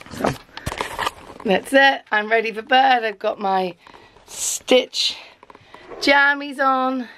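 A woman in her thirties chatting casually into a handheld camera in a cluttered bedroom at home, rambling and poking fun at herself. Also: mid-range voice. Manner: chatty, rambling, self-deprecating. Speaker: vlogger, teacher. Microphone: handheld camera at arm's length.

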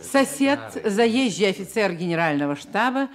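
A woman narrating a radio drama about a poet's life as an expressive literary storyteller. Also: mid-range voice, moderate pace, a crowd in the background, natural voice. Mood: expressive storytelling.

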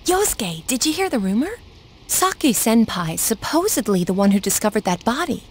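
A woman playing an energetic, curious, outspoken teenage schoolgirl in an anime-style video game, talking in an excitable, informal way. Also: fairly high pitch, normal speed, a little hiss or hum. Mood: gossipy, curious.

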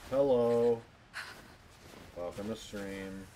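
Low, slow-talking man livestreaming. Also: dark tone, a little hiss or hum, poor audio. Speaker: video game livestreamer. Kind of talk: casual livestream gameplay chat.

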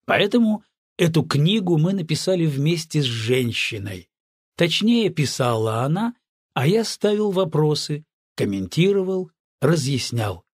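A man narrating an audiobook from a script in a measured, earnest way. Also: on the high side, very animated, breathy edge, dry recording, clean studio sound.

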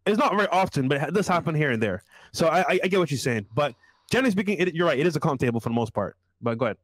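A man hosting a podcast panel, asking guests probing questions quickly and stumbling over his words when cutting in. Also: on the high side, animated, no room sound. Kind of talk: casual panel talk. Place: podcast studio. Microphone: studio mic with headphones.

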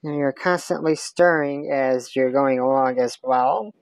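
A woman's voice: rumbling low, measured pace, a little mellow, no echo, rough recording. A woman walking through a recipe step by step in her home kitchen, chatting as she stirs. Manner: chatty step-by-step explaining while stirring. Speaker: home cook. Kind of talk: casual cooking demonstration. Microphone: camera on counter.

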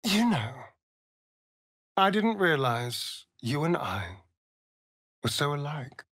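An actor playing a vain, flirtatious, sardonic male vampire in a video game, with an arch, theatrical, breathy delivery. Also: fairly high pitch, big pitch swings, slow, a bit bright, dry recording, clean studio sound, exaggerated voice. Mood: brooding, sly. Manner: theatrical, arch, drawling, swinging into breathy murmurs. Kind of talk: dramatic video game voice acting.

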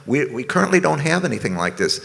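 A man on a conference panel, explaining in a measured way. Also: on the high side, very animated, creaky, fairly bright tone, in a large hall. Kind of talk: panel discussion. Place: conference ballroom stage.

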